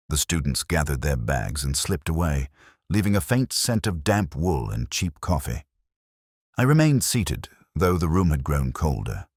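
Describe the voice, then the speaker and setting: A man with an extremely deep voice narrates a literary mystery in the first person. His delivery is measured and brooding, turned inward like private reflection. The sound is very dry, with no room around the voice. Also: no room sound.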